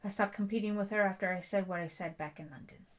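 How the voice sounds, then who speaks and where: A woman's voice: medium pitch, fast, natural voice. Headstrong young bride-to-be, blunt and chatty woman acting. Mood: firm. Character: headstrong, stubborn bride-to-be, werewolf prince's future wife. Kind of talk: intimate ASMR roleplay dialogue.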